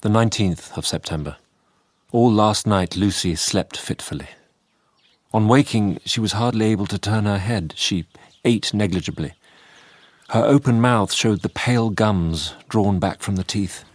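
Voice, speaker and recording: A man's voice: fairly low pitch, a little slow, dry recording. An actor playing an earnest, troubled Victorian doctor narrating his diary in a sombre, formal way. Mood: grave. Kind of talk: scripted diary narration in radio drama.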